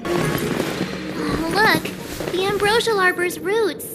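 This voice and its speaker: A woman's voice: very high-pitched, extremely slow, loud music behind the voice, heavily acted. A woman playing a small, cute, cheeky companion in a video game, blunt and teasing. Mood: surprised. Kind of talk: video game character acting.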